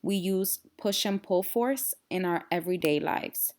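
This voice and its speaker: A woman's voice: lowish voice, unhurried, a little mellow, a little hiss or hum. A woman reading a children's storybook aloud expressively, with explanatory asides to young listeners. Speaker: read-aloud storyteller and teacher.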